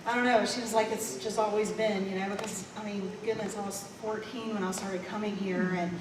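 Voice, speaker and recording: A woman giving a halting, heartfelt tribute to her pastor and his wife, full of "um"s. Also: mid-range voice, steady tone, echoey room, long reverb tail, recorded from a distance, background noise. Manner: halting, with many "um"s and "you know"s. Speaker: church member giving a tribute. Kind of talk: emotional, halting tribute speech. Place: large church fellowship hall.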